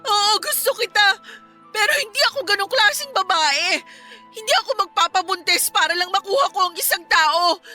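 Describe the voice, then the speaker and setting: A wounded but defiant young wife in a radio drama. Her voice is very high and piercingly bright, full of pleading and indignation. Music plays clearly beneath her. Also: heavily acted. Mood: indignant, wounded. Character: hurt young wife and mother, proud, defiant. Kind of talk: Filipino radio drama acting.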